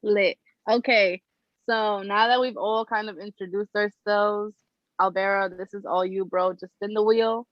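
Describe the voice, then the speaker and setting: A woman's voice: medium pitch, fairly even intonation, unhurried, no room sound, lo-fi sound. A young woman from New Jersey hosting a casual podcast chat, talking in a slangy, upbeat, joking way. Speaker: podcast host.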